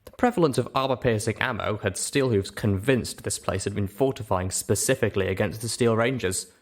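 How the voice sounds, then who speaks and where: Male audiobook narrator, first-person storytelling, slightly low register. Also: brisk.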